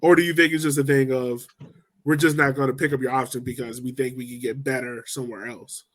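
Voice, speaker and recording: A man's voice: mid-range voice, level tone, fast talker. A man hosting a casual sports podcast, talking loosely and rambling as he lays out his points. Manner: loose, rambling, laughs easily. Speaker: podcast host.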